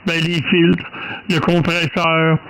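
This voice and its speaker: Male ham radio operator, Québécois accent, slightly high gravelly voice, halting conversational explainer. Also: completely flat delivery, a bit bright, slight background noise, low-quality recording. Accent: Quebec French. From French-speaking Canada. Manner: halting, searching for words, repeats himself.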